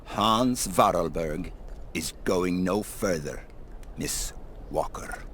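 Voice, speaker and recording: An actor voicing a stern, fanatical monastery priest in an adventure game, preachy and imperious. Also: male voice, low voice, slow pace, a bit bright, a little hiss or hum. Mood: grave.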